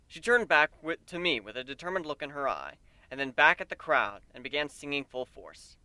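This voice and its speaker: A man narrates a story in the first person as a dramatic reading. His voice sits a little high and swings widely in pitch, with a bright edge. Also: animated, brisk, no room sound.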